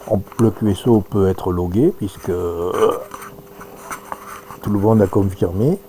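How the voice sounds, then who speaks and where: Male demonstrator, dark low voice, halting think-aloud commentary, slight hum behind. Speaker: presenter demonstrating amateur radio software. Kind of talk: informal live demonstration commentary.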